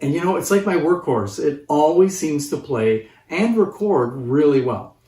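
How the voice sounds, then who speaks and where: A man's voice: fairly high pitch, animated, moderate pace, mellow. Man, fifties, roomy sound, off-mic, conversational collector, informal explanatory vlog. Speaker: presenter and collector of antique phonographs. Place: living room, seated on a sofa. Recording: roomy, distant microphone.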